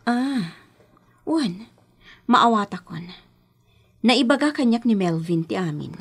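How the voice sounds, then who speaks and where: Coy young Ilocano woman, breathy. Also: medium pitch, animated, slow, full character voice. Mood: reserved. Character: Kathleen, coy young woman being courted. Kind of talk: Ilocano radio drama acting.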